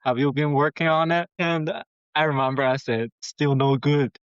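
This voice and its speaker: A man with a Mandarin Chinese accent, a native Chinese speaker, earnestly telling his personal story as a podcast guest in halting phrases with restarts. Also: a little high, fairly even intonation, clear, resonant tone, no room sound. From China. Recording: slightly dull lo-fi sound.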